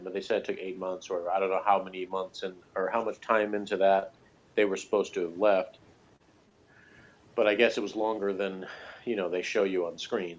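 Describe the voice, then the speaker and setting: Guy with a lowish voice chatting thru a review, kinda rambly, muffled lo-fi audio. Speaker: TV episode reviewer. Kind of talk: casual review discussion. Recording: dull, lo-fi sound.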